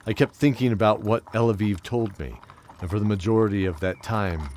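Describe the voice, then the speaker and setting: A man playing a brooding old knight who tells his own tale in a measured, reflective, dramatic way for an audio drama. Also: fairly low pitch, a little mellow, a little hiss or hum, lightly acted. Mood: pensive. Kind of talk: first-person audio drama narration.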